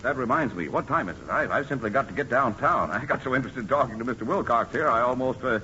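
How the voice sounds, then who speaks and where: Brisk, bright-voiced visitor, old radio. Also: male voice, mid-range voice, expressive. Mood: hurried, polite. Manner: apologetic, polite, brisk. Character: hurried, polite, businesslike male visitor. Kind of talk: 1940s radio comedy acting. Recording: noisy, muffled 1940s radio recording.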